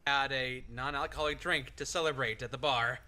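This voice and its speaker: A man's voice: medium pitch, steady tone, brisk, sharp tone. A young man, a veteran, telling sheepish stories in a casual chat and laughing often. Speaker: co-host, veteran.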